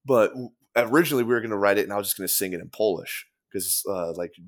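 A man's voice: on the low side, quick pace. A man talking casually on a podcast, rambling with many fillers, restarts and casual swearing. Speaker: podcast guest, former band guitarist. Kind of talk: casual conversation.

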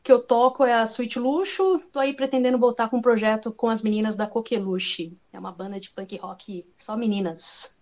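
Higher-pitched Brazilian woman chatting informally. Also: normal speed, a little mellow. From Brazil, moved from Paraguay as a toddler. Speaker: podcast guest. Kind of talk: casual chat.

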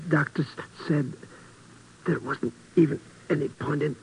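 An actor playing a self-pitying wounded rogue, halting and melodramatic, in a 1950s radio drama. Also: male voice, a little high, fairly even intonation, slightly rough. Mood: weak, plaintive. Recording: noisy old radio broadcast recording.

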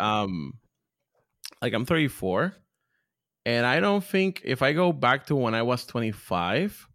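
A man's voice: lowish voice, fairly bright tone, dry recording, studio quality. A man in his thirties from Puerto Rico, a comedian guest talking casually and self-deprecatingly on a podcast. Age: 30s. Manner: self-deprecating, laid-back, rambling.

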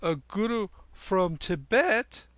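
Here is a bold street boy, acted by a male narrator, pitched high and full of bounce. He teases confidently in old-fashioned storybook phrases, brash and sure of himself. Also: expressive, slow, fairly bright tone, no echo, full character voice. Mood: cheeky, curious. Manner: brash, teasing, archaic phrasing.

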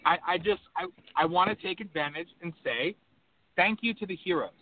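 A man's voice: sits high, lively intonation, normal speed. A man hosting a call-in radio show, talking in an impassioned, rambling, emphatic way. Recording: tinny phone-line audio with faint background hum.

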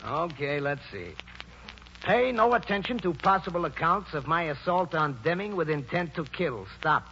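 A brash, folksy showbiz manager in 1940s radio style, with a forceful, highish voice and blunt, colloquial delivery. The old recording is dull. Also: male voice, expressive, moderate pace, a little hiss or hum. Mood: brisk, blunt. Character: brash, genial, folksy older theatrical manager.

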